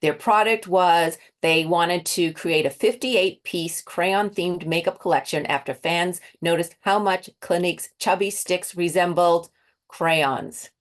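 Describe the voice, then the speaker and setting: A woman's voice: on the low side, measured pace. A woman with a Boston accent interviewing a guest, chatty and laughing often, often restarting her sentences. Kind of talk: casual interview with a quiz.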